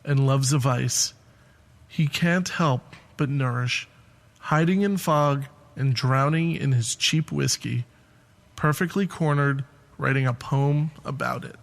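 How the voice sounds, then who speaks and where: Slow-paced man reading poetic prose. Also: medium pitch, gravelly. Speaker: reader of a literary piece.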